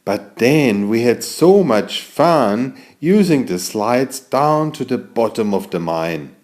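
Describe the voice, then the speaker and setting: A man narrating a scripted bedtime story for small children in an expressive, exclamatory way. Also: medium pitch, slow. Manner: expressive, exclamatory, addressing young listeners.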